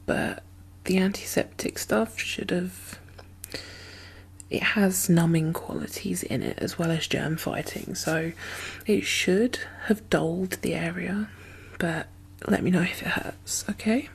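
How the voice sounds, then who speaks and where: ASMR roleplay: woman, unhurried and soothing caregiver, hushed whispery delivery. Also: fairly low pitch, fairly even intonation, vocal fry. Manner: gentle, murmured, reassuring, soothing.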